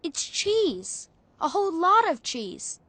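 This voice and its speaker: A woman voicing a polite, earnest young boy hero in an animated fantasy game. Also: very high-pitched, slow, exaggerated voice. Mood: curious. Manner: earnest, questioning.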